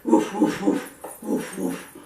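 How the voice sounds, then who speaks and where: A woman playing a lazy farm dog who barks out a string of woofs, then flatly refuses. The voice sits a bit lower than her storytelling voice, in an echoey room away from the mic. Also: slow pace, close to an everyday voice. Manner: barking woofs before refusing. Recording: roomy, from a distance.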